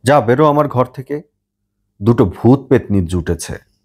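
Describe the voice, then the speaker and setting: A man's voice: mid-range voice, expressive, unhurried, a little mellow, husky. A man voicing a scolding, suspicious old grandmother in an audiobook, with a stern, grumbling manner.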